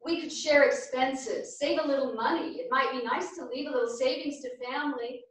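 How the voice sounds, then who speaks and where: An actress as an earnest older woman, sincere and hopeful. Her voice is high and carries with heavy echo from a stage, heard at some distance. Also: brisk, a bit bright. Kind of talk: theatrical staged reading. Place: small theatre stage, far camera. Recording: echoey theatre, distant microphone.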